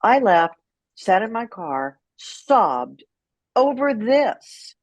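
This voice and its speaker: A middle-aged American woman telling candid personal stories as an interview guest, frank and unfiltered, with dramatic pauses for emphasis. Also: on the high side, big pitch swings, dry recording. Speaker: guest, writer and former radio personality.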